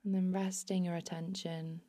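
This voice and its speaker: A woman narrating a guided body scan meditation in a soothing, unhurried, hushed manner. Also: fairly low pitch, little pitch movement.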